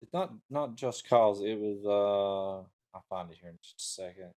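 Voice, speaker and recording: Middle aged guy, lowish voice, casual podcast style chat, sort of thinking out loud. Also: moderate pace. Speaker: talk show co-host.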